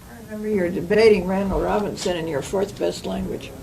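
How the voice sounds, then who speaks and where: A woman's voice: medium pitch, quick pace, gravelly, mellow, slight background noise. A woman reporting at a press conference, asking a question in a friendly, conversational way, heard from some distance from the microphone.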